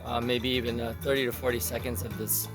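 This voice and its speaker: A man hosting a casual how-to demonstration in a halting way, thinking aloud as he goes. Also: mid-range voice, flat delivery, over music. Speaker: video tutorial host.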